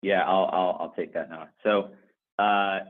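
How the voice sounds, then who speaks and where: A man's voice: low-pitched, fairly even intonation, unhurried. A male company executive giving unscripted answers on an earnings conference call. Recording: narrow, phone-like conference call line.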